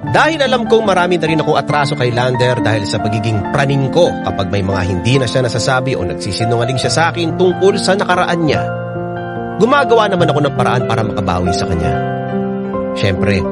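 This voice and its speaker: A Filipino man narrates a confessional story for radio drama. His mid-pitched voice rises and falls dramatically. Loud music runs underneath throughout. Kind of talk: expressive first-person story narration.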